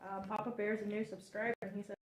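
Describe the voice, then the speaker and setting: A woman's voice: mid-range voice, level tone, quick pace. A woman from Canada reading viewer comments aloud on a casual livestream, speaking briefly. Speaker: co-host reading chat comments. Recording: echoey room with faint noise.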